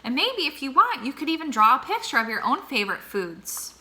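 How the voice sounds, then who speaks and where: A woman teacher reading a picture book aloud to children, slow and expressive, then posing questions to them. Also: high-pitched. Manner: slow, expressive and warmly animated.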